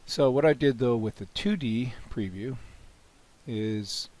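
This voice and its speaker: A man with a cold, hoarse and often clearing his throat, casually talking through a software demo with many "you know"s and restarts. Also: mid-range voice, slow. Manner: relaxed, rambling, frequent "you know" and restarts. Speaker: software developer demonstrating his plugin. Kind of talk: screen-recorded software walkthrough.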